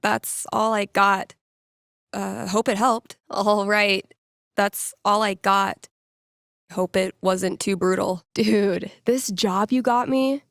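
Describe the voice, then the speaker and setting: A young American radio DJ and playful fortune teller in a video game. Her voice sits at a medium female pitch, delivered in a wry, casual, teasing way. The sound is close and dry, with no room around it. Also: steady tone, slow, gravelly, dry recording. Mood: exasperated.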